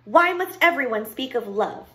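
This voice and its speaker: Woman voicing a high, haughty fairy-tale princess. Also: animated, moderate pace, sharp tone. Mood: scornful. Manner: haughty, demanding, indignant. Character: proud, spoiled, beautiful young princess in a fairy tale. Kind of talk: storybook character voice.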